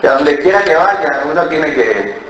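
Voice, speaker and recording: A man's voice: on the high side, big pitch swings, moderate pace, echoey room, lo-fi sound, very noisy. A man, a pastor with an accent from Cali, Colombia, preaching a sermon and telling a personal story, often asking "¿verdad?". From born in Ecuador, raised in Colombia. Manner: storytelling, mimics other voices, frequent "¿verdad?". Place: church.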